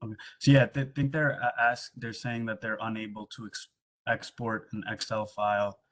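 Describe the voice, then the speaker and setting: A man on a webinar video call giving technical advice in a halting way with fillers. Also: on the low side, level tone, fairly bright tone.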